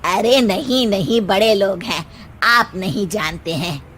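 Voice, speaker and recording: A woman's voice: medium pitch, a bit bright, a little hiss or hum. A woman voicing a boastful, starstruck mother-in-law in a theatrical, brash way for a comic family story. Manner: theatrical, brash, breaks into drawn-out singing.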